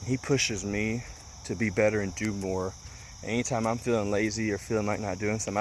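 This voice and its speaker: A man's voice: fairly low pitch, a little hiss or hum. A man in his late twenties giving an earnest motivational talk outdoors, with lots of ums and repeated words for emphasis. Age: 20s. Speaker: motivational vlogger.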